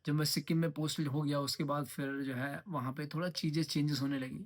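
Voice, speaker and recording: Fast-talking young man. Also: a little high, flat delivery. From Uttarakhand, India. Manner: rambling, mixes English phrases, repeats himself. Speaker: trading vlogger. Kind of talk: casual vlog monologue.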